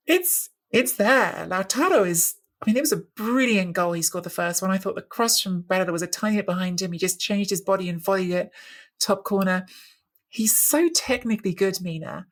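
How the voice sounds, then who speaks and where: A woman's voice: mid-range voice, fairly bright tone. Woman talking through football tactics. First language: English. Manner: thoughtful, many restarts while searching for words. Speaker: podcast co-host. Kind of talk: casual football analysis chat.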